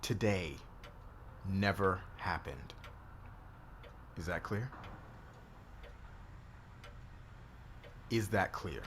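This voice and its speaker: Guy acting as bossy bitter exec in asmr roleplay, deep slow menacing voice, noisy background. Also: animated, fairly bright tone, heavily acted. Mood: grim, menacing. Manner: low, deliberate, menacing, intimate delivery. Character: domineering, bitter married male boss being blackmailed and seduced. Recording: clear background noise.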